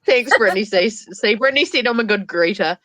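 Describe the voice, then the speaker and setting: A woman's voice: mid-range voice, fairly quick, fairly bright tone, dry recording. A woman hosting a casual livestream chat with a stuffy nose, joking and laughing easily. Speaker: livestream host.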